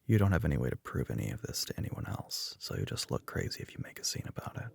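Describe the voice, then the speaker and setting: A man voices a young male telepath, a smug classmate in an ASMR roleplay. His voice is husky with a creaky edge, recorded close and very dry. He is teasing and matter-of-fact, speaking softly as if to one listener. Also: extremely low pitch, big pitch swings, fast talker, no room sound, exaggerated voice.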